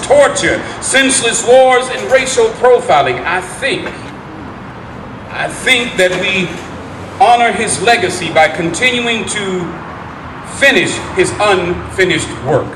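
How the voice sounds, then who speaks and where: A man giving a dramatic stage reading as an impassioned orator, speaking in emphatic short phrases. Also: sits high. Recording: echoey room, distant mic, background noise.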